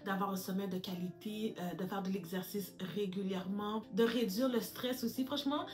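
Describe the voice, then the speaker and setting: A woman's voice: mid-range voice, steady tone, moderate pace, a little mellow, faint music behind. A woman, giving advice straight to camera as a lifestyle YouTuber, calling her viewers "girls" and mixing in English words. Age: 30s. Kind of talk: direct-to-camera advice vlog.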